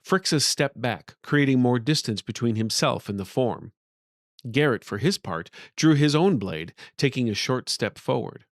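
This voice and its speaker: A man's voice: mid-range voice, animated, normal speed, no room sound, studio quality. A man narrating a scripted fantasy story as an audiobook storyteller.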